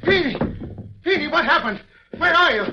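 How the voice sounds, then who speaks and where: A man's voice: extremely high pitch, lively intonation, moderate pace, noisy background. An actor playing a pompous, easily panicked man posing as a tax official in an old radio drama. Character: nervous male impostor official, a fake tax commissioner. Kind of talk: 1940s radio acting. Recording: dull old radio recording.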